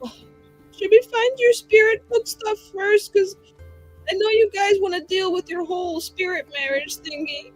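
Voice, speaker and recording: A woman's voice: very high voice, fairly even intonation, a little slow, low-quality recording. A woman playing a tabletop game, improvising casually with a flippant, informal way of talking. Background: soft background music.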